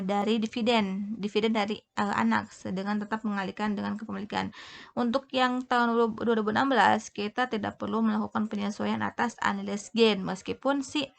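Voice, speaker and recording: Halting woman tutor, creaky voice. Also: mid-range voice, a bit bright. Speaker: accounting tutor. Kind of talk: explanatory tutorial narration.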